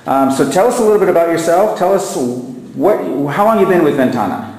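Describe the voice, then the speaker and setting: A man's voice: high-pitched, expressive, a little fast, darkish tone. A man hosting an interview, polite and friendly, with many fillers. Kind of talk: interview host. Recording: echoey room with reverb.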